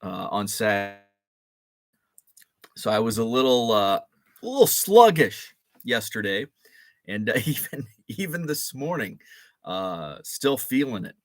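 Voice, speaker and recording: A man around forty hosting a casual live show, a bit groggy and deeper-voiced after a late night, rambling with lots of fillers and restarts. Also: sing-song, slow pace, pauses often, dry recording, clean studio sound. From Illinois. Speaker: host.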